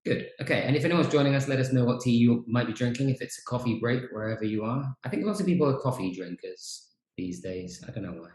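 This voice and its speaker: A man's voice: medium pitch, quick pace. Chatty male host, roomy sound. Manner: relaxed, chatty, frequent fillers. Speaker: podcast host, teacher trainer. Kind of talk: casual chat. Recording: roomy echo.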